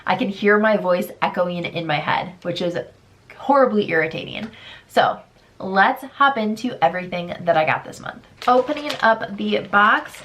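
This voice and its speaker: A woman's voice: mid-range voice, a bit bright. Congested young female beauty vlogger, chatty and candid, faint background hiss. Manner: chatty, candid, reads product blurbs aloud. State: congested, sick. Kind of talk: casual product try-on chat.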